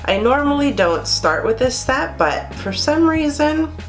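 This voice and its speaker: A woman's voice: sits high, sing-song, a little slow, soft background music. A woman in her thirties with a stuffy, nasal cold voice, chatting casually as she reviews a palette and does her makeup in a small bedroom. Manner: chatty, explains each step while applying makeup. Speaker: beauty vlogger. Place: small bedroom with string lights, indoors.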